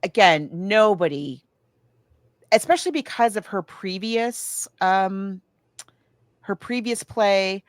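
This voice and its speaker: A woman chatting casually about books and films, animated and halting as she searches for details while recounting. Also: medium pitch, dry recording, studio quality. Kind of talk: casual book and film discussion.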